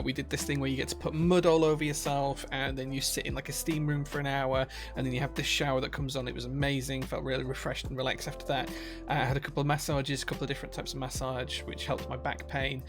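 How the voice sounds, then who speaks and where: A man with a broad Yorkshire accent, chatting casually on a live stream from his workshop and reading viewer comments aloud with lots of uhs. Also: mid-range voice. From Yorkshire, England. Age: adult, married. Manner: friendly, chatty, many uhs and restarts. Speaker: streamer and keyboard builder.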